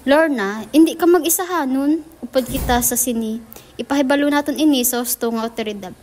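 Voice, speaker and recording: Student acting a high-voiced supportive Filipino woman. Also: fairly quick, natural voice. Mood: reassuring, resolute. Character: supportive female older co-worker Aling Rosa, resolute ally. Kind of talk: amateur radio drama acting. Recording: noisy amateur recording with clicks.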